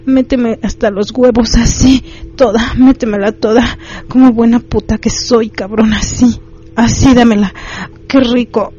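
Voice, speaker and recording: A woman's voice: fairly high pitch, steady tone, unhurried, warm tone, soft background music, voice pushed up, lightly acted. A woman voicing an uninhibited, demanding married woman in an adult audio story, urgent and full of moaning exclamations. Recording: slightly dull, lo-fi recording.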